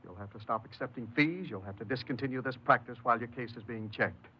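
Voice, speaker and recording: Firm parole official, male actor, American, 1950s radio drama: measured, reasoning, rough-edged medium voice, dull old recording. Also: medium pitch, close to an everyday voice. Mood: firm, reassuring. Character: firm, patient parole official, Mister Swanson.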